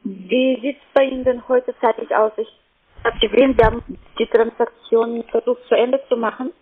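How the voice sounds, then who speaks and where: Polite high-voiced woman on a phone line. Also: fairly even intonation, normal speed, breathy edge. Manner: polite, businesslike. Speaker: call centre sales agent. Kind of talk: scripted-sounding phone sales talk, polite. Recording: thin phone-line sound.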